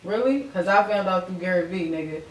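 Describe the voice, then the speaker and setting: A woman's voice: fairly low pitch, fairly quick, over a noisy crowd. A woman talking casually on a podcast in a blunt, slangy way with plenty of swearing. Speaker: podcast host, music artist. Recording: echoey room.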